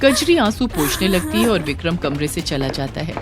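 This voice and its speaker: A woman's voice: on the low side, very animated, normal speed, over music. A woman narrator reading a scripted moral story.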